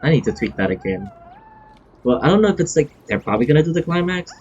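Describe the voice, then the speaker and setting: A man giving casual live-stream game commentary, thinking aloud in a halting, rambling way. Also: fairly high pitch, very animated, darkish tone, breathy edge. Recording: dull, lo-fi stream microphone.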